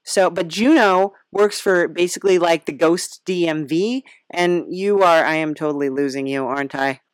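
A woman's voice: lowish voice, moderate pace, no echo. A woman hosting a podcast in a casual, chatty, informal way, rambling a little. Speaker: podcast host and creator.

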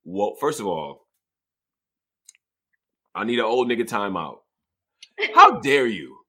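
Animated man in his thirties, interviewing. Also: slow. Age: 30s. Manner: animated, joking, swoops high when excited. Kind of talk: casual hip-hop interview chat.

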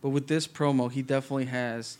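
A man co-hosting a casual podcast, relaxed, recapping events from his notes and laughing easily. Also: mid-range voice, fast talker, smooth. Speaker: podcast co-host. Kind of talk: casual wrestling chat.

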